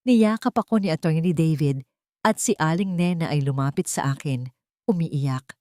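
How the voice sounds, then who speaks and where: A woman reading a scripted first-person dramatic story in a measured, expressive way. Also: deep voice, no room sound, studio quality. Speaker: audio story narrator.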